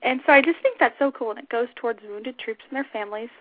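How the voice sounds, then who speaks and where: Scratchy, higher-voiced woman on a tinny line. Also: fast, dry recording. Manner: chatty, informal. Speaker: talk show host. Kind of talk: unscripted casual radio chat. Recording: tinny phone-line internet radio audio.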